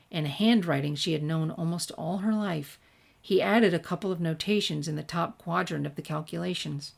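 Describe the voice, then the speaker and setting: A woman's voice: fairly low pitch, vocal fry. A woman who reads fan fiction aloud on her channel, recorded at home in an ordinary room. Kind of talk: scripted story narration and casual sign-off. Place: room at home without soundproofing.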